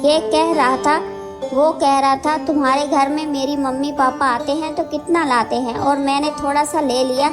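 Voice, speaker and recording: Young wife for cartoon tale, woman actor: high, sharp-tongued, sulky, snappy delivery. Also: fairly even intonation, moderate pace, close to an everyday voice. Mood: indignant. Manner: snappy, dramatic. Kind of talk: Hindi cartoon story acting. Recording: loud music bed behind voice.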